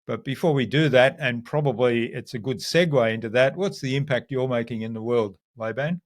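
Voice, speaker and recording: A man hosting an interview podcast, his mid-pitched voice close and dry with no room sound. He asks his questions in an encouraging, friendly way. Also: brisk, no room sound. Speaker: podcast host.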